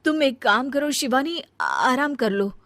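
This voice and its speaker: A woman's voice: high voice, big pitch swings, unhurried, a bit bright, no room sound, voice pushed up, full character voice. A woman voicing a dutiful elder daughter-in-law in a cartoon family story, earnest and easily exasperated. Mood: caring. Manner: earnest, scolding when crossed.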